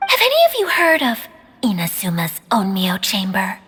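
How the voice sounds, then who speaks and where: A woman playing a gentle, courteous young caretaker in a video game, speaking formally with a touch of hesitancy. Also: medium pitch, huge pitch swings, moderate pace, faint music behind. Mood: explaining. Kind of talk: video game cutscene dialogue.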